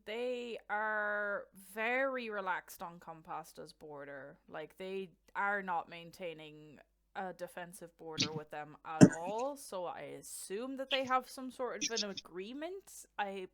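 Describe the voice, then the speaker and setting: Irish woman, young adult, casual game caster, chatty, quick to laugh. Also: medium pitch. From Ireland. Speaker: event commentator.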